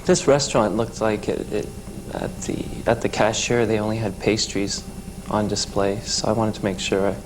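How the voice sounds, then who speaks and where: A man's voice: fairly low pitch, steady tone. Young Canadian man in his twenties, talk show guest, hesitant storyteller, audible hiss on the recording. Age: 20s. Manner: halting storytelling with many restarts and fillers.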